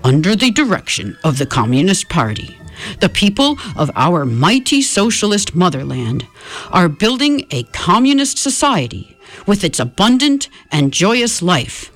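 A woman voicing a blustering middle-aged father who shouts and lectures. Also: fairly low pitch, big pitch swings, unhurried, soft background music, full character voice. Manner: bellowing, lecturing.

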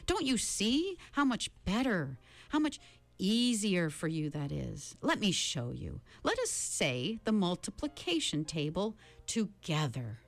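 A woman reading an audiobook, voicing a sinister, bland man who mocks children and chants numbers slowly. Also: lowish voice, sing-song, no echo. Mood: chanting. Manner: slow, drawn-out, chanting.

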